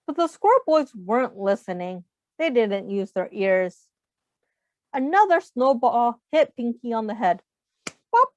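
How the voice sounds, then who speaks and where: High, lilting, unhurried woman storyteller. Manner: expressive, asks the listeners questions. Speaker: teacher reading aloud to young children. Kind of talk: children's picture book read-aloud. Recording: muffled, echoey room sound.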